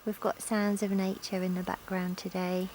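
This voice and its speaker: A woman leading a guided yoga nidra relaxation in a calm, gentle, soothing manner with long pauses, recorded outdoors in a garden. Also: mid-range voice, poor audio. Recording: outdoor recording with insect and nature noise. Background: insects, sounds of a sunny garden.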